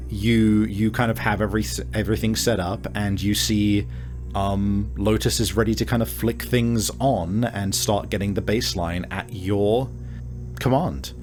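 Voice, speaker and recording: A man working as game master, improvising narration in an informal, halting way as he searches for words. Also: fairly low pitch, a little mellow. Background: background music.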